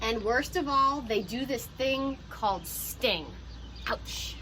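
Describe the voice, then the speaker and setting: A woman who teaches pre-K, reading a picture book aloud to young children in an animated, encouraging way with teacher asides. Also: fairly high pitch, takes it slowly, a little hiss or hum, low-quality recording.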